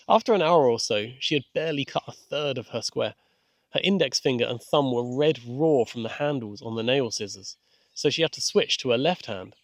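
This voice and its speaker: A man reading a scripted children's audiobook as narrator, with measured, gentle storytelling. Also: mid-range voice, dry recording. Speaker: author and narrator.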